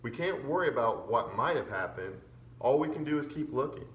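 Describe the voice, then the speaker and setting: A man's voice: mid-range voice, lively intonation, fairly quick, far from the mic, natural voice. A man voicing a weary, grieving preacher father, earnest and resigned, in a storybook reading. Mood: firm, resigned. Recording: roomy echo with background noise.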